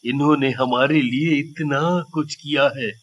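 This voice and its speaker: Guy doing a kindly cartoon tailor dad, bright forceful voice, takes his time, earnest, slightly dull audio. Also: mid-range voice, steady tone, a little slow, a little hiss or hum, close to an everyday voice. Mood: earnest, grateful. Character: humble, earnest married tailor, a kindly father. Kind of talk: Hindi cartoon story dialogue.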